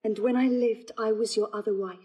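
An actress playing a gentle, earnest young bride in a classical audio drama, with a breathy voice. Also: female voice, a little high, steady tone, a little fast, natural voice.

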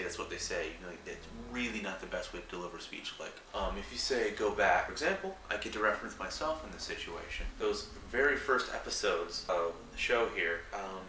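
A young man with a low voice talks to camera in a rambling, casual way, his voice a little raspy from a cold. He sits a few feet from the mic in a small room at night, so the sound is roomy and distant. A faint buzz hums behind him. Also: sharp tone, a little hiss or hum. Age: young adult. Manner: rambling, many fillers and restarts. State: recovering from a cold, slightly raspy. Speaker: vlogger. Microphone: camera a few feet away.

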